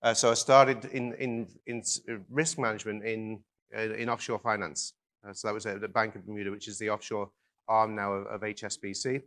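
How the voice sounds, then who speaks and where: A man with an Irish-tinged Liverpool accent giving a conference keynote in a relaxed, joking way, with lots of ums and restarts. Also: fairly low pitch, fairly quick. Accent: Irish-tinged Liverpool English. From England, raised near Liverpool in an Irish family. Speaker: keynote speaker. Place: conference stage.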